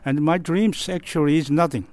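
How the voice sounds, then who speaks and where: A man from South Korea answering interview questions in English with a Korean accent, halting and searching for words. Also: a little high, a little mellow.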